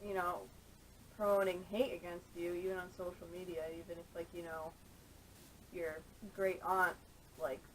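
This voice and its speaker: A woman's voice: level tone, moderate pace. A woman in a casual podcast debate, earnest and persistent, speaking on poor camera audio. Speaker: podcast panelist. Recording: noisy camera audio with rumble.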